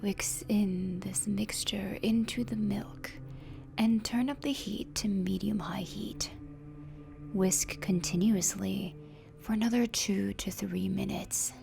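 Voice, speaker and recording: Woman as macabre vampire countess hosting ASMR cookery: genteel, very unhurried whisper, music underneath. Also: mid-range voice, steady tone, a little mellow. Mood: hushed, instructive. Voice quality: breathy whisper with creaky fry. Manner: slow, deliberate, genteel. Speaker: host. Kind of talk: ASMR recipe narration.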